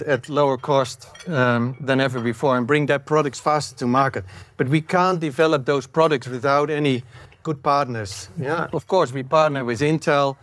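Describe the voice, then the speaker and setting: A middle-aged man with a Dutch accent introduces his company on a conference stage. His voice is somewhat high for a man, and he talks without a script. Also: fairly bright tone. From Netherlands. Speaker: panelist, software company representative. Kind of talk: unscripted panel introduction. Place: on stage at a conference panel in a curtained room. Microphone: lapel mic.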